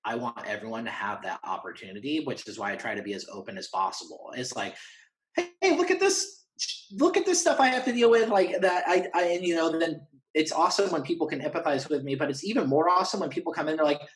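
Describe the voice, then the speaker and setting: A man's voice: fairly high pitch, lively intonation, fast, low-quality recording. A man chatting casually as an interview guest, rambling enthusiastically and acting out little imagined exchanges. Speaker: interview guest, horror fan and writer. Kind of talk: casual conversational chat.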